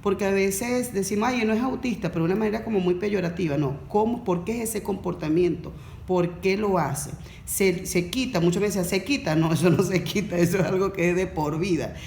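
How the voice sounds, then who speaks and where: A woman, a course facilitator explaining on a podcast in a measured way that restates her points. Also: medium pitch, creaky, darkish tone, echoey room, slight background noise.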